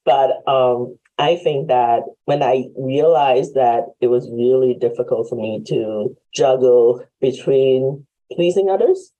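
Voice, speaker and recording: A woman's voice: rumbling low, slow, few pauses, warm tone, highs cut off. A woman in her forties from Hong Kong hosting an interview, friendly and reflective, laughing often as she talks. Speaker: host. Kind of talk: casual interview chat. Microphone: video call.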